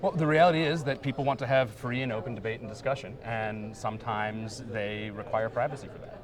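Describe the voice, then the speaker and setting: A man's voice: medium pitch, a little fast. A man answering interview questions as an organization's spokesperson. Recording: crowd noise behind.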